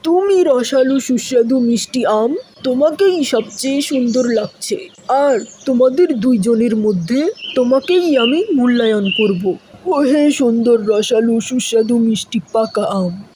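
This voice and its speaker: Woman playing a high-pitched sly hungry cat. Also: measured pace, mellow, slight background noise, close to an everyday voice. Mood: flattering. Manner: coaxing, flowery repeated praise. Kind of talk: children's moral cartoon voice acting.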